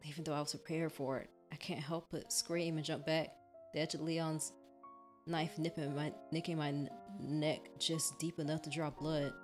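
A woman acts out a terrified victim narrating a horror visual novel. The voice is low, halting and fearful, heavy with guilt, over clear background music. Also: level tone, close to an everyday voice. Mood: horrified.

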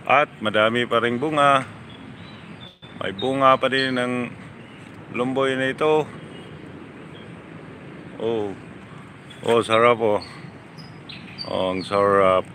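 Slow-talking man live-streaming. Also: mid-range voice, sharp tone, slight background noise. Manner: slow, chatty, repeats thanks. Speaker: live-streaming motorcycle vlogger. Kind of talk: casual live-stream chat thanking viewers. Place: outdoors, city streets by motorcycle, then a yard.

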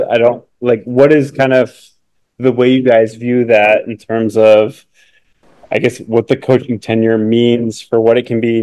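A man's voice: mid-range voice, a little mellow, low-quality recording. A male sports reporter asking a long, rambling question with many hesitations over a remote call. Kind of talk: press conference question.

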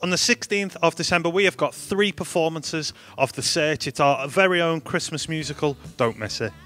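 A man's voice: on the high side, moderate pace, a bit bright. A young man presenting church news announcements in an upbeat, playful way, speaking into a handheld mic in a studio. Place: studio in front of a green screen.

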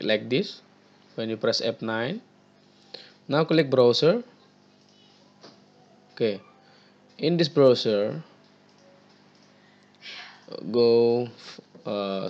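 Gravelly young Indonesian man, slow halting tutorial. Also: medium pitch, lo-fi sound. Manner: halting, repeats words, many "okay" and "uh" fillers. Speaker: tutorial presenter. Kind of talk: screen-recorded gaming tutorial. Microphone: headset.

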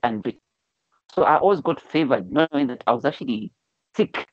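A man's voice: medium pitch, animated, normal speed, slightly rough, no echo. A Zambian-born man in his forties tells his own life story as an interview guest. He talks over a video call from a room at home. The sound is dry and muffled, a bit lo-fi. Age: 40s. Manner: earnest storyteller, repeats words when starting a thought. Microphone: video call, wired earphones. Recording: dull lo-fi video call audio.

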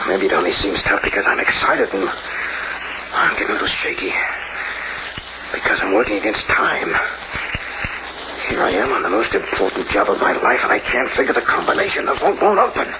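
An actor playing a slangy, hard-boiled locksmith in an old-time radio crime drama. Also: male voice, fairly low pitch, sing-song, a little fast, long pauses. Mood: tense, frustrated. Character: edgy, wisecracking working-class male locksmith. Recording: muffled, noisy old radio recording.

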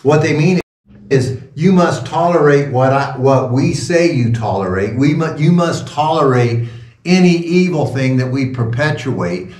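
Sarcastic older pastor in an echoey room. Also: male voice, mid-range voice, animated, a little slow, a little mellow. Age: 60s. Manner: sarcastic, rambling, chuckling asides. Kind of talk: informal sermon talk with asides. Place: small wood-paneled room indoors. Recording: roomy echo, distant from the mic.

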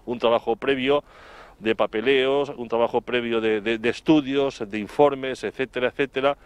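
A middle-aged man with an accent from Spain, reporting live outdoors in a wordy, halting way, repeating words as he recalls things. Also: mid-range voice, fairly even intonation, smooth, no echo. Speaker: television reporter. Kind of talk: live report, spoken recollection. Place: outdoors in front of an office building. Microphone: handheld microphone, through a face mask.

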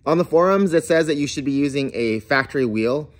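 A man in his thirties narrating a do-it-yourself truck repair, explaining each step in a relaxed, plainspoken way. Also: on the high side, brisk. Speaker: garage channel host. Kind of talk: how-to voice-over narration.

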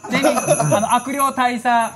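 A man's voice: very high-pitched, flat delivery, a little slow, fairly bright tone, a little hiss or hum. A middle-aged man hosting a show in a casual, comic variety style. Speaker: show host.

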